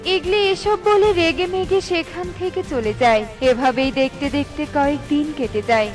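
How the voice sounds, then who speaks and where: High-pitched woman narrating a children's story. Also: normal speed, over music.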